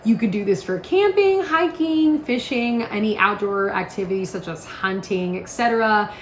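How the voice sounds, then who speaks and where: A woman's voice: mid-range voice, expressive, moderate pace. A woman giving a casual, chatty product demonstration, explaining things step by step in an informal, instructional way. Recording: roomy echo, faint background noise.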